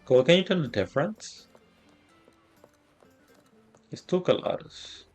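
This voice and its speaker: A man's voice: on the high side, expressive, slow pace, darkish tone, soft background music. A man giving casual gameplay commentary in a loose, muttering way as he plays. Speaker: game streamer.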